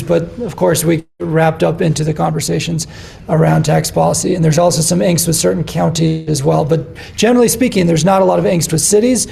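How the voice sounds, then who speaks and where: Man, meeting moderator, gravelly, mellow, brisk and organized. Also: on the high side. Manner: brisk, organized, repeats words. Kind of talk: leading a policy meeting.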